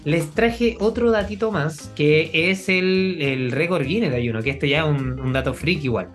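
A man's voice: on the high side, normal speed, faint music behind. A young Chilean man co-hosting a casual podcast, chatty and full of fillers, often breaking into laughter. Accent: Chilean Spanish. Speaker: podcast co-host. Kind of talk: casual chat.

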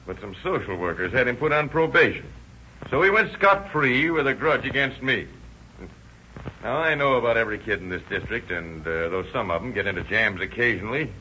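A confident American police officer in a vintage radio crime play. His voice is bright and forceful at a middling pitch, authoritative and plain-spoken. The old recording is dull and hissy. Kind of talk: 1930s radio drama acting.

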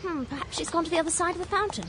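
A woman's voice: very high-pitched, racing, very noisy. An actress playing a prim, spirited young Victorian lady in a radio drama, with a proper, emotive delivery. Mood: anxious. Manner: proper, crisply formal, emotive.

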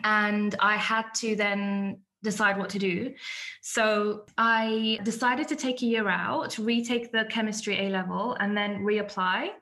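A woman's voice: medium pitch, fairly even intonation, measured pace, pushed and bright. A woman, telling her story as a podcast guest in a candid, chatty way. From born in Iraq, raised in London. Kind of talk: casual interview chat.

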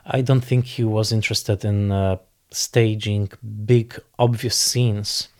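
A man speaking off the cuff as a film critic in an interview, analysing in a deliberate, emphatic way and searching for precise words. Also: on the low side, fairly even intonation, darkish tone. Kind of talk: unscripted analytical interview commentary.

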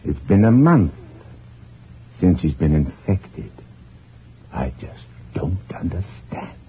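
An actor playing a driven, troubled middle-aged French scientist in a 1940s radio drama, halting and brooding. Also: male voice, low voice, very animated, exaggerated voice. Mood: pondering, excited. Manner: halting, brooding, theatrical delivery. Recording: muffled narrow-band old radio recording, hiss.

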